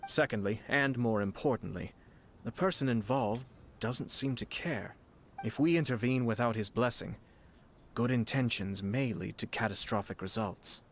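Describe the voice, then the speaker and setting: An actor playing a courteous, calculating young nobleman in a game, speaking with formal, measured diction. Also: medium pitch, slight background noise, close to an everyday voice. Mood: composed. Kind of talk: game voice acting.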